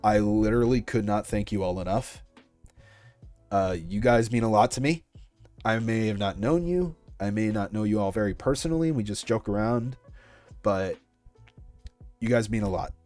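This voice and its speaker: A man's voice: lowish voice, moderate pace, pauses often, a little mellow, soft background music. A man in his twenties answering interview questions about his creative work in a casual way, thinking aloud as he goes. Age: 20s. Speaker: creator being interviewed about an audio project.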